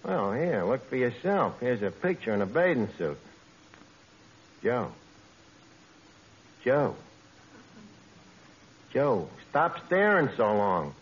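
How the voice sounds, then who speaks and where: A man's voice: medium pitch, big pitch swings, slow pace, pauses often. An actor playing a brash, vain, skirt-chasing working-class man with a New York accent and slangy, mangled speech in a 1940s radio comedy. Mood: cocky. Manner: slangy, malaprop-prone, cocksure. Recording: muffled, hissy old radio broadcast.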